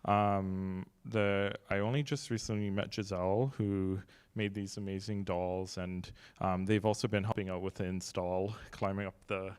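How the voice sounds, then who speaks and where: Young man, low smooth voice, halting gallery welcome on a handheld mic, lots of "um" and restarts. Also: flat delivery, darkish tone. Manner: halting, many fillers and restarts, light joking. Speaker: gallery artistic director. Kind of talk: casual welcoming remarks. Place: gallery room, seated at a long table. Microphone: handheld microphone.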